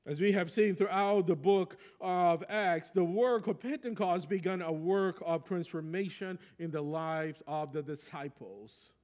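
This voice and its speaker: Pastor: man, Brazilian accent, native Portuguese, fairly high voice, conversational preaching with personal stories. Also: unhurried, few pauses, darkish tone. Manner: reflective storytelling, conversational. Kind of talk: sermon.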